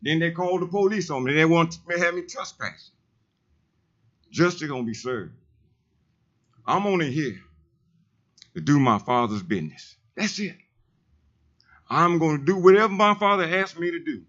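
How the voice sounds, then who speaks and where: A man with a heavy, loud voice, speaking emphatically and repeating himself in a preacherly way during public comment at a council meeting. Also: a little high, expressive, moderate pace, long pauses, dull audio. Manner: emphatic, repetitive, preacherly insistence.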